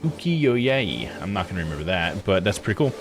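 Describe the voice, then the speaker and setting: A man's voice: low voice, sing-song, fairly quick, soft background music. A man giving casual let's-play commentary on a visual novel, musing aloud to himself.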